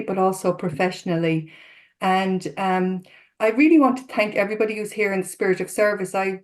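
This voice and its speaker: Irish woman, middle-aged, earnest, open, speaking over a video call, mid-pitched voice. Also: darkish tone. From Ireland. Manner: earnest, open and self-disclosing. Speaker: therapist and guest speaker. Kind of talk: talk to an online recovery group.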